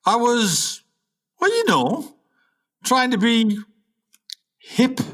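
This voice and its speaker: A man's voice: very high-pitched, big pitch swings, drawn-out words, a bit bright, full character voice. An actor playing a desperate, boastful aging jazz musician and father in a comedic radio play, blustering and stammering when cornered. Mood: pleading, flustered. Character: desperate, boastful aging jazzman father, full of big plans.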